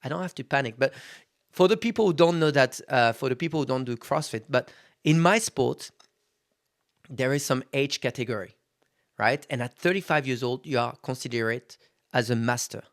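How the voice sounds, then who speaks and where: A man in his thirties at an ordinary mid pitch, hosting his own podcast in a loose, unscripted monologue. He talks frankly, swears without restraint and keeps turning to his listeners as guys. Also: moderate pace. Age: 30s. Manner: candid, swears freely, addresses listeners as guys. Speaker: podcast host. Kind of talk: casual motivational monologue.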